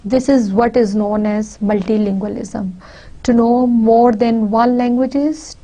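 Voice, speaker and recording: Slow, mellow Pakistani woman lecturing. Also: fairly high pitch, breathy edge, poor audio. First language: Punjabi. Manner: deliberate, repeats and restarts phrases. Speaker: university lecturer. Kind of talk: recorded lecture.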